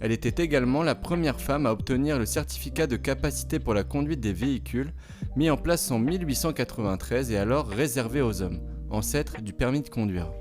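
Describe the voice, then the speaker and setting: A man's voice: medium pitch, animated, a little fast, a little mellow, soft background music. Man reading an informative narration. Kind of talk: scripted narration.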